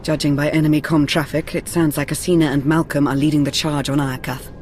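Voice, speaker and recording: A woman playing a composed, capable field operative in a sci-fi game, speaking in a measured, formal, matter-of-fact way. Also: low voice, level tone, a little mellow, lightly acted. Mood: composed, businesslike. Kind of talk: cinematic video game acting. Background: prominent music.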